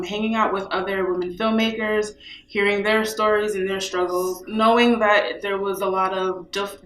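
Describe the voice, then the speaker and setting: A woman's voice: mid-range voice, pushed and bright, reverberant, slight background noise, low-quality recording. A young woman from New York, a film festival co-founder, speaking in a casual interview with many fillers. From New York, USA. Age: young adult.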